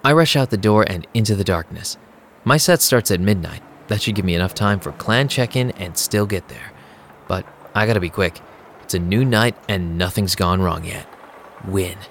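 An actor playing a young vampire DJ who narrates an audio drama in a casual, streetwise and sarcastic way. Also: male voice, deep voice, normal speed, slight background noise. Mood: wry, casual. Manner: casual, wry. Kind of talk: first-person audio drama narration and dialogue. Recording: music behind the voice.

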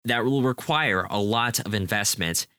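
Young man, very low voice, forceful bright tone, dry close sound, enthusiastic rambling soccer chat. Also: a little slow, smooth, no room sound. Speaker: podcast co-host. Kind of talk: casual soccer preview chat.

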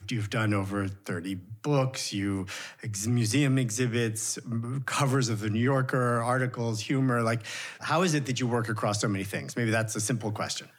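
A man's voice: mid-range voice, steady tone, moderate pace. A man hosting a podcast, asking admiring, searching questions of his guest. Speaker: podcast host. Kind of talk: interview questions.

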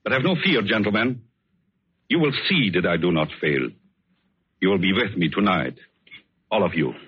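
Deep brooding painter, old radio. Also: male voice, lively intonation, breathy edge. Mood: cold hatred. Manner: measured, theatrical, hesitant pauses. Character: vengeful, obsessed male painter feigning tender devotion. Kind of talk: 1940s radio suspense acting. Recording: dull old radio recording, music behind.

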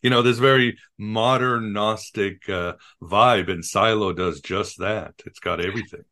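A man's voice: fairly low pitch, fairly even intonation, a little slow, bright, forceful tone. A man hosting a podcast, chatty and enthusiastic in casual conversation. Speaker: podcast host.

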